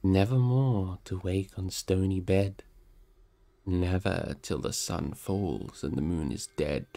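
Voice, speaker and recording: A man's voice: sits low, takes it slowly, vocal fry, warm tone, exaggerated voice. A man voicing a cold, dreary barrow spirit chanting a solemn, grim incantation from a storybook. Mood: grim, menacing.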